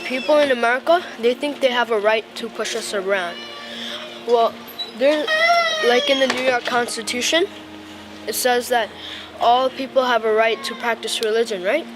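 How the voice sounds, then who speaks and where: A woman's voice: a little high, normal speed, over music, rough recording. A woman answering frankly and casually, with many likes and gonnas. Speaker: community member.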